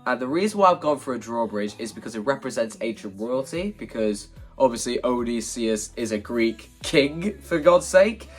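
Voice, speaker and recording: A man's voice: medium pitch, lively intonation, normal speed, few pauses, breathy edge. A man with a mid-range voice, slightly congested from hay fever. He talks casually and modestly, rambling a little as he explains his ideas like a vlogger. Faint plucked guitar music plays behind him. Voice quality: slightly stuffy, congested nose. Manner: informal, self-deprecating, rambling. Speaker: video creator presenting a stage play concept.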